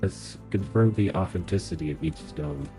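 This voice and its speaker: A man's voice: sits low, sing-song, normal speed, vocal fry, dark tone, faint music behind, poor audio. A young man streaming a game playthrough, thinking aloud as he plays, sounding nasal because he is sick. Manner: chatty, thinks aloud while playing. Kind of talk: live game playthrough commentary.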